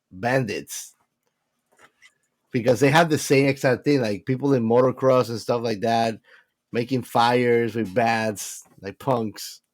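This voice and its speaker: A man's voice: mid-range voice, no room sound. A man co-hosting a casual film chat, informal, repeating himself as he searches for the right words. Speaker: podcast co-host.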